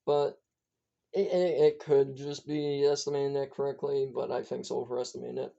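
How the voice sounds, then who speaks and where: A hoarse speaker whose voice is giving out, giving a casual, rambling weather briefing as an amateur forecaster, stumbling and correcting themselves often. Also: mid-range voice, level tone, a little fast, dark tone. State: hoarse, losing voice. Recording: dull lo-fi recording.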